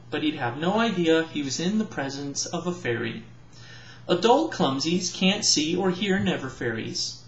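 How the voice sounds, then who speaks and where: Dad reading a kids storybook out loud, animated voice, kinda echoey room. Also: male voice, on the high side, a little hiss or hum. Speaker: parent reading aloud to children. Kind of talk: storybook read-aloud narration. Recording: roomy echo, slightly dull home recording.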